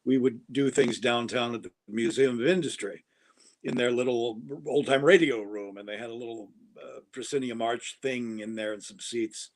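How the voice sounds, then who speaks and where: Male actor as podcast guest, mid-range pitch, chatty, digresses, trips over openings. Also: steady tone, normal speed, poor audio. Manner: chatty, digressive, with false starts. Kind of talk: casual podcast conversation.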